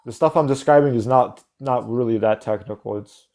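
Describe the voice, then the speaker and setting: Blunt man with a dark voice. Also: mid-range voice, fairly quick. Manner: blunt, dismissive, matter-of-fact. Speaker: livestream host. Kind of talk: casual debate with callers.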